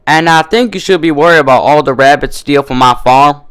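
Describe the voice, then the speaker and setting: A man's voice: fairly high pitch, fairly quick, a bit bright, clear, resonant tone, pitched above the performer's own voice, close to an everyday voice. A man voicing a stubborn, teasing country mare farmer with an American Southern drawl, blunt and folksy, in a cartoon fan-fiction reading. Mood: feisty rivalry.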